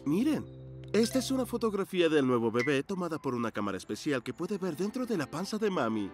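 A man's voice: fairly high pitch, sing-song, quick pace. A man voicing an affectionate, playful pug father in a cartoon, doting on his children. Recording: music bed behind voice.

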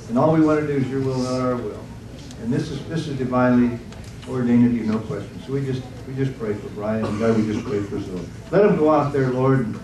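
A man's voice: mid-range voice, a little mellow, a little hiss or hum. A man preaching and praying to a congregation in a fervent, folksy way with lots of repetition. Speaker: pastor. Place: church hall. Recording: echoey room from far off with crowd.

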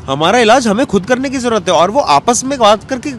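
A male interview guest talks in a high voice with a casual, earnest delivery. He slips English words and phrases into his sentences. He is recorded outdoors on a handheld mic with slight background noise. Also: expressive, a little fast. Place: outdoors in an open courtyard. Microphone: handheld small microphone.

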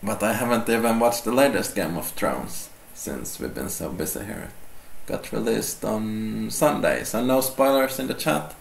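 Slow gravelly guy w/ reading chat comments on a live stream, roomy sound, faint hum behind. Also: lowish voice. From Finland. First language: Swedish. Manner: relaxed, slow, reads out comments. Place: small indoor room, seated on a sofa. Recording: roomy with faint ambient noise.

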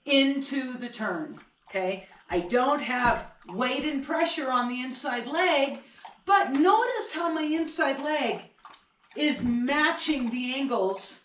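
A middle-aged woman giving an energetic, upbeat home-video ski lesson in her kitchen, demonstrating as she talks. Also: high-pitched, a little hiss or hum. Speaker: ski instructor. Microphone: far camera. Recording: echoey kitchen from far off.

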